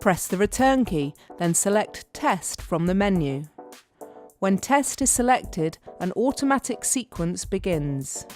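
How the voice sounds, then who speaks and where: A woman narrates an instructional training film with a measured, step-by-step delivery. Her voice sits at an average pitch for a woman, and music plays behind her as she reads from a script. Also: lively intonation, a little mellow.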